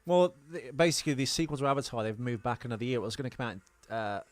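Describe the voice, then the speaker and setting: A man's voice: medium pitch, animated, fast. A man co-hosting a podcast, chatting casually and comically about films in a rambling, joking way. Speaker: podcast co-host. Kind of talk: casual comedic film chat.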